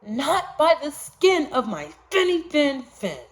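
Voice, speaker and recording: Little young fish, voiced by a woman, storybook, high-pitched, plucky, sing-song, emphatic, swooping. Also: big pitch swings, slow, heavily acted. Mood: defiant. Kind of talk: storybook read-aloud.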